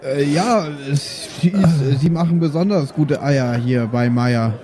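A man's voice: on the high side, unhurried, dark tone. A man playing a tabletop audio game, improvising casually and hesitantly as he searches for words. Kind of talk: improvised casual role-play.

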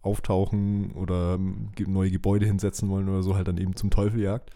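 A man's voice: low voice, level tone, fast, mellow. A man chatting casually as a podcast host, explaining things like an enthusiastic fan in informal slang. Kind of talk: casual chat about childhood audio dramas.

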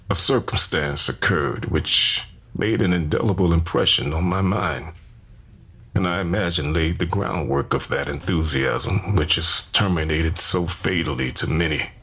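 A man's voice: deep voice, fairly bright tone, exaggerated voice. A man playing a solemn enslaved preacher in his thirties, convinced of his divine calling, giving grave, formal testimony in a historical dramatization. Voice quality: gravelly, husky.

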